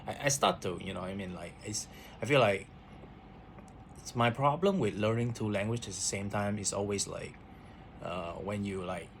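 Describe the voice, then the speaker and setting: Brief: lowish-voiced man, halting vlog chat, faint hiss behind. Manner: hesitant, repeats words, many fillers. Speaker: vlogger. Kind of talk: casual spoken diary.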